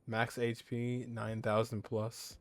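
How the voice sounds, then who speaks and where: A man's voice: mid-range voice, completely flat delivery, slow pace. A man giving informal, reactive gameplay commentary, half talking to himself with frequent exclamations. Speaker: gaming streamer.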